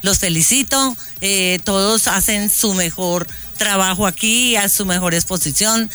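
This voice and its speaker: A woman with a Colombian coffee-region accent speaks on air with a bright, high and smooth voice. She sounds gracious and enthusiastic, and faint music plays behind her. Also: a little slow. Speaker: visiting tourist. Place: outdoors in a town park.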